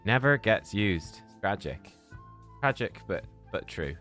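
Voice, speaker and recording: A man chatting casually on a live game stream, relaxed and thinking out loud as he plays. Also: fairly low pitch, a little slow, soft background music.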